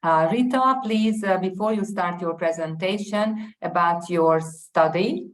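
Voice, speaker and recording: A woman moderating a conference session, speaking formally and haltingly with many pauses. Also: on the low side. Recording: echoey room.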